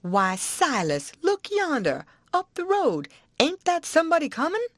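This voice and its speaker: A woman voicing an excitable older farm wife who gushes in a breathless rush. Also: sits high, a little slow, dry recording, clean studio sound, pitched above the performer's own voice, full character voice. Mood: excited. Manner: gushing, breathless chatter.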